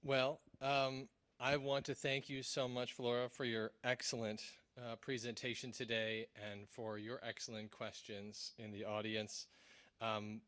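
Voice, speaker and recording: A man's voice: mid-range voice, fairly bright tone. A man speaking as the host of a public talk, addressing the audience in informal remarks. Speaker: event host. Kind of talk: event closing remarks. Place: room with a live audience.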